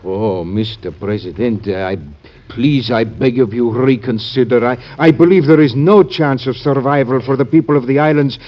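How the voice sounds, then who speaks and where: A man's voice: medium pitch, normal speed, lightly acted. Humble, fervent male petitioner, acted. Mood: distressed pleading. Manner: solemn, careful, formal diction. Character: humble, fervent male islander pleading for his people. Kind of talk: classic American radio drama acting. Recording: dull band-limited old radio recording.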